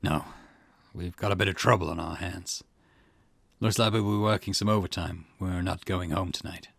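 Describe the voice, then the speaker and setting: A man voicing a gruff, stern and weary police detective boss with a curt, admonishing manner in a visual novel game. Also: low-pitched, steady tone, normal speed, fairly bright tone, no room sound, heavily acted. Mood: grave.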